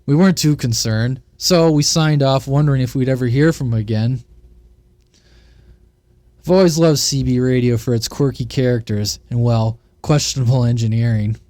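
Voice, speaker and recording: Male storyteller, folksy, playful, mid-pitched, scripted comic narration, dry close recording. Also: normal speed, warm tone, dry recording. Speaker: narrator of CB radio short stories.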